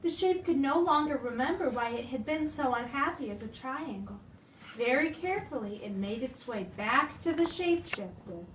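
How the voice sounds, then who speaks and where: Female storyteller, high voice, theatrical delivery; roomy, noisy recording. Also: normal speed. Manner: expressive, theatrical. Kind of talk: dramatic storybook read-aloud. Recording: roomy, distant microphone with clear noise.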